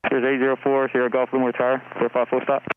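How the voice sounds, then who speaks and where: A man's voice: medium pitch, completely flat delivery, very fast. A man working as an air traffic controller, speaking clipped phraseology over aviation radio. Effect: radio transmission. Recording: tinny, noisy aviation radio.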